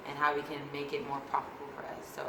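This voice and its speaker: A woman speaking at a panel, measured and earnest. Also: low voice, completely flat delivery. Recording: echoey room with noise.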